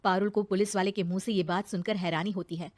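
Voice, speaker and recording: A woman's voice: mid-range voice, steady tone, quick pace, a little mellow. A woman narrator reading a scripted moral story in a dramatic storytelling style.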